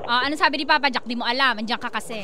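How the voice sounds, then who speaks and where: A woman's voice: sits high, rapid-fire, a loud crowd. A woman with a Filipino accent co-hosting on radio in playful, teasing casual banter. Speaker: radio DJ co-host. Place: live radio broadcast in Manila.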